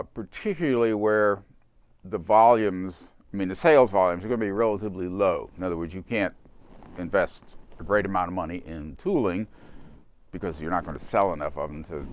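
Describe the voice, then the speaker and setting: An older man with a very deep voice speaks as a company founder being interviewed. His delivery is reflective and unhurried, broken by pauses as he thinks. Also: clean tone.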